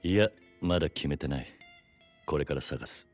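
An actor playing a stoic, gruff middle-aged man, terse and restrained, in a video game cutscene. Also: extremely low pitch, moderate pace, soft background music. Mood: serious, reserved.

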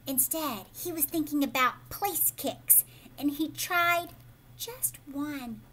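An American woman reads a children's story in a high voice. She takes her time and is expressive, often turning to the listener with questions. It is recorded at home with faint background noise. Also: slow pace. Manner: animated, prompting listeners with questions. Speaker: teacher reading a picture book aloud to children. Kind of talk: expressive children's read-aloud with asides to the listener. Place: living room at home, indoors.

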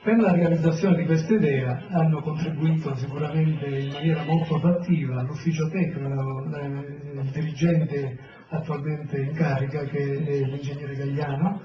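A man's voice: a little high, fairly even intonation, normal speed, a crowd in the background. A Sicilian man, a town mayor, giving a hesitant public speech full of fillers. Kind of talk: public speech at a press event. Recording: roomy, distant, dull, noisy.

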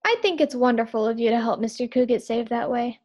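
Woman acting naive housemaid, wry and loyal, high voice, old radio sitcom style. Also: brisk, a little mellow, dull audio, close to an everyday voice.

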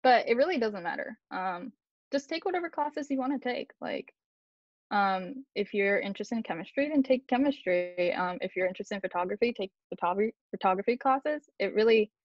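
A woman's voice: medium pitch, no echo. Young woman, college student, chatty panel answers, dry and muffled laptop-call sound. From grew up in Japan and Taiwan. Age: young adult. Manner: chatty, self-deprecating, many fillers. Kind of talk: casual Q&A on a video call. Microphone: laptop mic on a video call.

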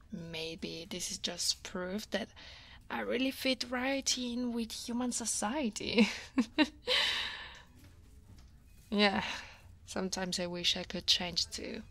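A woman from Italy voicing a guarded but teasing female dragon office senior in a soft, breathy ASMR roleplay. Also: a little high, exaggerated voice. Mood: amused, rueful. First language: Italian. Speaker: voice actor.

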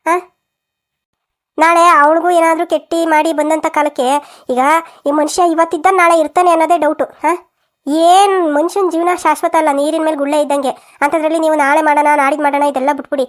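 Woman acting high-voiced, frank older village aunt. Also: little pitch movement, brisk, natural voice. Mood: earnest, urging. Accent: rural southern Karnataka. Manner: emphatic, advising, colloquial. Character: frank, protective older village aunt giving family advice. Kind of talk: cartoon family drama dialogue.

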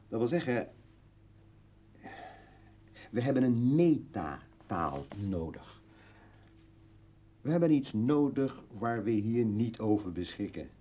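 Slow, husky brooding old man. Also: on the low side, full character voice. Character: brooding old man, philosophical and bitterly ironic. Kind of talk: theatrical radio play monologue. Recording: dull vintage radio drama recording.

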